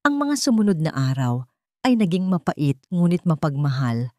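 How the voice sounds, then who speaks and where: A woman narrating a dramatic scripted story in an emotive, measured storytelling manner. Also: deep voice, sing-song, warm tone, no echo, clean studio sound.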